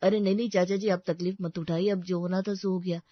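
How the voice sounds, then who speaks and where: A woman's voice: fairly low pitch, flat delivery, brisk, no echo, muffled recording, close to an everyday voice. A woman playing a mild, respectful adult son who talks politely and hesitantly in a story. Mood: subdued, hesitant. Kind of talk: Hindi audio story dramatization.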